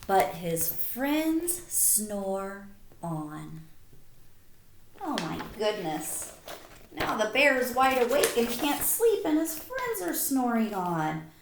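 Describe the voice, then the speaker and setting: A woman teacher reading a picture book aloud to young children, expressive, with simple questions, in an echoey room. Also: on the high side, takes it slowly, a little hiss or hum. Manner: animated, simple child-directed phrasing.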